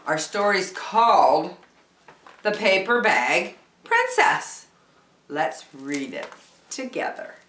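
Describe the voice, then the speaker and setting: Woman, children's storyteller, low voice, very animated intonation, dramatic emphasis, echoey room. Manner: deliberate, dramatic emphasis on key words. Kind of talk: expressive picture-book read-aloud. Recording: roomy indoor echo.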